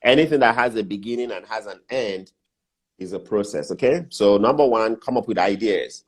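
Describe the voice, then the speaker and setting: A man's voice: on the low side, moderate pace. A middle-aged Nigerian man with a Nigerian accent, a guest mentor who talks through business steps in a casual interview, repeating his key points with emphasis.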